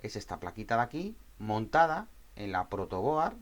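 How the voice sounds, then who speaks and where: A man from Spain with a fairly low voice presents a technical tutorial in an informal way. His Spanish accent is that of Spain, and he explains things plainly, often adding small tag questions to check the listener follows. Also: big pitch swings, moderate pace.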